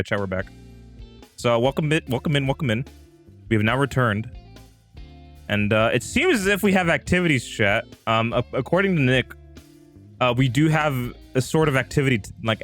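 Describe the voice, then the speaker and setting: A man's voice: mid-range voice, a bit bright. Rambling male livestreamer over background music. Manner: rambling, repeats himself, addresses viewers directly. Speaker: livestream host. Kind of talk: casual live-stream chat.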